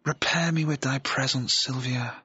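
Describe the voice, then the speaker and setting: A lonely, lovelorn young gentleman in exile, musing in classical verse. His voice is mid-pitched and husky. The recording is dry and dull. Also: fairly even intonation, normal speed, no room sound. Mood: pensive, yearning. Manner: reflective, declamatory. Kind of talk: classical Shakespearean soliloquy acting.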